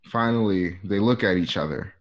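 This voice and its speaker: A man's voice: fairly low pitch, moderate pace. A man reading stage directions as narrator for a radio play over a dull online call. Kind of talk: scripted narration. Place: online live stream call.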